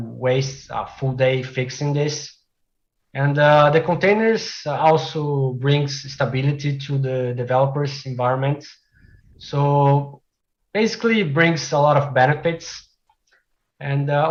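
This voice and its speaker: A man from Brazil whose first language is Portuguese, giving a technical presentation as a developer, with frequent "uh" fillers and measured delivery. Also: fairly high pitch, lo-fi sound. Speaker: conference speaker, software developer.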